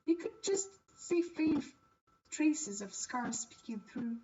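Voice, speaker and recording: A woman with a high voice narrates a visual novel on a stream. She reads it aloud like a storyteller. The recording sounds a bit dull and distant, with faint noise. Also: lively intonation, takes it slowly, dark tone.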